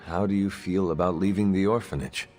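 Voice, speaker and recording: A man playing a stoic, reserved and supportive video game hero, speaking in formal, measured phrases. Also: low voice, fairly even intonation, a little mellow, in a large hall, soft background music, lightly acted. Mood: calm, probing. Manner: formal, measured, few contractions. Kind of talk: video game dialogue acting.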